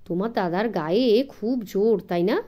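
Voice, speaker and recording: A woman voicing a nervous, fretful, chatty gentleman tourist in an audio story. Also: medium pitch, moderate pace, lightly acted.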